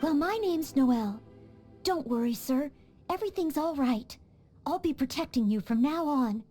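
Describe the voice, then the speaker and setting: Sweet earnest maid girl, highish soft voice, bit of game music. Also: animated, moderate pace. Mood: reassuring. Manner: earnest, gentle, eager to help. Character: young female maid knight, earnest and polite. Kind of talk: video game voice acting.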